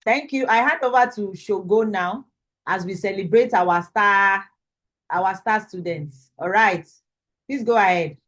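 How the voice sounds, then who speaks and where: A woman's voice: mid-range voice, a little slow, a bit bright. Nigerian woman hosting an online course. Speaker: course instructor and host. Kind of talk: online presentation. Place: video call.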